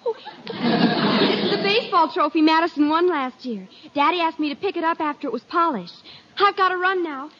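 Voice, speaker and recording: A woman's voice: very high voice, lively intonation, normal speed, fairly bright tone, dull audio. A woman playing a chirpy, breezy teenage schoolgirl in 1950s radio comedy style. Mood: cheerful, hurried. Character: chirpy, eager teenage girl, principal's daughter. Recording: very thin, band-limited 1950 radio recording.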